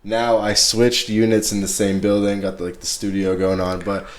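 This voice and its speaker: A man's voice: on the low side, flat delivery, moderate pace. A man hosting a podcast in a casual, laid-back chat, talking in slangy everyday English. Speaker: podcast host.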